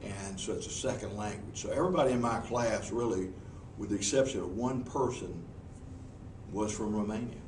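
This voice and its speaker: A man's voice: on the low side, normal speed, darkish tone. Man, Southern accent, professional speaker, folksy, restarts, distant mic, roomy noisy recording. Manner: folksy and earnest, with frequent restarts. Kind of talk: answering interview questions before a group. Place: meeting room with an audience. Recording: echoey room, mic far off, noisy.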